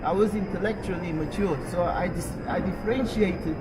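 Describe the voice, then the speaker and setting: A man's voice: high voice, moderate pace. A man from Portugal telling his conversion story in casual, reflective talk with many fillers. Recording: loud street noise.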